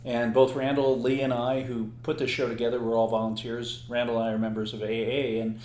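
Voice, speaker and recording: A man's voice: medium pitch, level tone, a little mellow, echoey room, rough recording. A man hosting a recovery podcast, chatting casually and playfully with a co-host and joking often. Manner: playful, quick with jokes and laughs.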